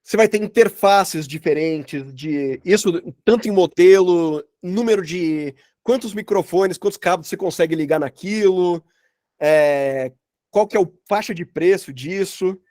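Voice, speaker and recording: A man's voice: high voice, a little slow, darkish tone, no room sound, studio quality. A man with a Brazilian accent giving an informal online lesson as a sound designer, explaining with colloquial examples and slangy asides. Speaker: sound designer teaching a workshop. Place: indoors, on a video call.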